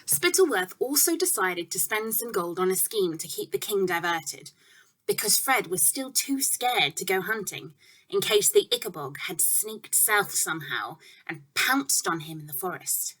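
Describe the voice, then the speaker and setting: A woman narrating a children's story in a measured, expressive way, phrasing clearly and stressing key words. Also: fairly low pitch, poor audio.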